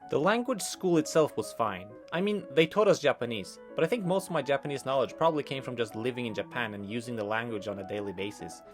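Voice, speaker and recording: A man's voice: medium pitch, fast, few pauses, music in the background. Wry young man narrating a vlog. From Norway. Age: young adult. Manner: dry, wry, deadpan humor. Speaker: vlogger narrating his life. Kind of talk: scripted voice-over narration.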